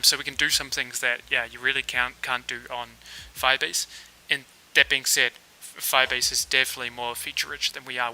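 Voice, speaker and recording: A man's voice: medium pitch, rough recording. A man from New Zealand with a New Zealand accent hosting a casual live coding stream, thinking aloud with plenty of fillers as he works. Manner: relaxed, thinks aloud with fillers while working. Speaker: developer livestream host.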